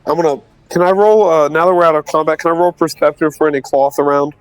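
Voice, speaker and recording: Young man, tabletop player, casual blunt talk, slightly high voice, faint music behind. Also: steady tone, fast talker, clean tone, dry recording, poor audio.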